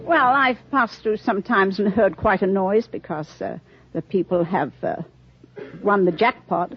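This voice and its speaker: A woman's voice: medium pitch, measured pace, crowd noise. Earnest woman on a muffled old quiz show. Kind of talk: unscripted answers to a host. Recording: dull, hissy old radio recording. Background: studio audience.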